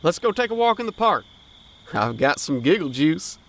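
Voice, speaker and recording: A man's voice: fairly high pitch, big pitch swings, moderate pace, rough recording. An actor playing an easygoing, slangy teenage boy with folksy grammar in a period audio drama. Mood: carefree, teasing. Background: crickets and night insects.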